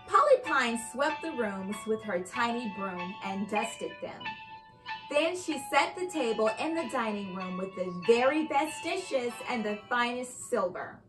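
Young woman, higher voice, very unhurried pace, children's storybook read-aloud, expressive enunciation. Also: low-quality recording. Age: young adult. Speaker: host and reader of children's read-aloud show. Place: indoor living room by a fireplace.

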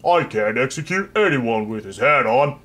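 A man voicing a kindly, reluctant executioner who talks slowly and mildly. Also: medium pitch, animated, fairly bright tone. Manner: slow, mild and hesitant. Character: gentle, slow male executioner.

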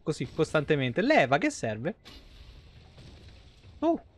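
A man's voice: a little high, huge pitch swings, slow. A man, giving casual, playful and slangy live commentary on a game. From Italy. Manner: playful, slangy, mock exclamations. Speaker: game livestreamer. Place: small indoor room, streaming setup.

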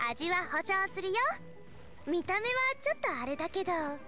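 A woman playing a cheerful, eager teenage girl chef in an anime game, with energetic, expressive and friendly delivery. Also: very high-pitched, unhurried, full character voice. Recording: studio voice over game music.

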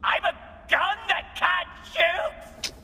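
An actor playing a small, trigger-happy talking gun robot in a video game, theatrical and indignant, shouting his lines. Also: very high-pitched, very slow, big-room sound, exaggerated voice. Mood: panicked outrage.

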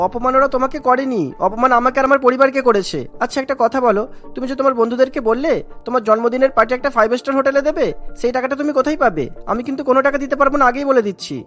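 Cartoon: an actor playing a patient poor husband, rapid delivery. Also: male voice, very high-pitched, a bit bright, faint music behind. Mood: firm.

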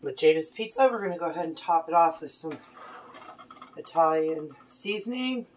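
A woman's voice: low-pitched, lively intonation, normal speed, darkish tone, slight background noise, rough recording. A woman in her thirties chatting casually in a home kitchen as she cooks with her daughter, praising her often, recorded on a phone camera. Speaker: mom cooking with her daughter. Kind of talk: casual cooking vlog chat. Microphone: phone camera on the counter. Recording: clear clatter of dishes and pans.

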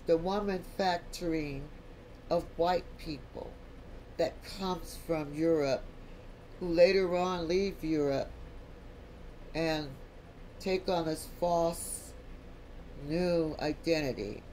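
American vlogger lecturing slow over a screen recording, mid pitch, repeats stuff for emphasis. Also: a little mellow, slight background noise, low-quality recording. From United States. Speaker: video blogger. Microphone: voice-over, not recorded in the pictured place.

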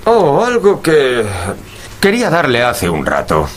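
An actor playing a polite, inquisitive gentleman, a detective's companion, in a formal and expressive radio drama style. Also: a little high, unhurried, a little hiss or hum. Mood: hesitant, kindly.

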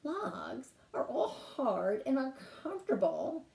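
Reluctant storybook frog, whiny and pleading, voiced by a woman, very animated. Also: sits high, slow pace, gravelly, reverberant, voice pushed up, heavily acted.

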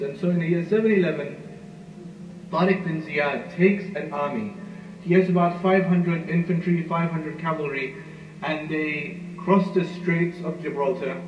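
A man giving a history lecture, telling the story at an unhurried storyteller's pace with frequent "uh" fillers. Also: on the high side. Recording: muffled, roomy, far from mic, noisy.

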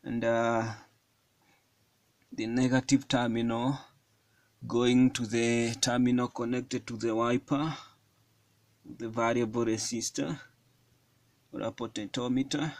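A man giving a hands-on electronics tutorial at a table, explaining each step slowly with frequent fillers. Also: medium pitch, little pitch movement, no echo. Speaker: electronics hobbyist demonstrating a circuit. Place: indoors at a wooden table.

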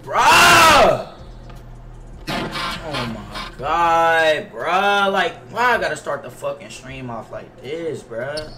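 Bright-voiced American man, profane game streamer. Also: fairly high pitch, sing-song, very slow, reverberant, recorded from a distance, a faint crowd, a little hiss or hum. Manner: profane, slangy, repetitive, griping. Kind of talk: casual livestream chat while gaming. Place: indoor home gaming room. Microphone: headset mic.

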